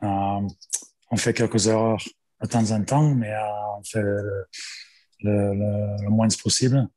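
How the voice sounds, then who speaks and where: A man's voice: on the low side, flat delivery, takes it slowly, dark tone. A man in his forties with an Australian accent, a strength coach who speaks French hesitantly as a podcast guest, with many fillers and restarts. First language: English. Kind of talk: interview guest.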